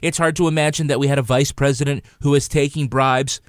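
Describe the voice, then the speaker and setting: A man with a mid-pitched voice chats through his recommendations as a podcast panelist. The sound is close and dry, with a casual reviewing style. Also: fairly even intonation, brisk, clean tone, no echo.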